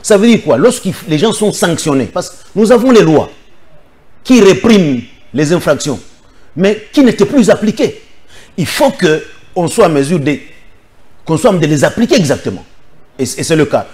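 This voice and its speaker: A man's voice: high-pitched, very animated, normal speed, darkish tone. A man from the Democratic Republic of the Congo, a political guest giving firm, insistent answers in a studio interview.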